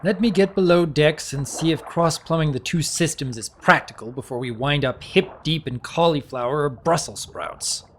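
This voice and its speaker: A man's voice: fairly high pitch, normal speed, hardly any pauses, slight background noise, pitched above the performer's own voice, close to an everyday voice. A man voicing a young pony baron in a storybook, earnest, well-mannered and slightly awkward. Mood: practical, musing.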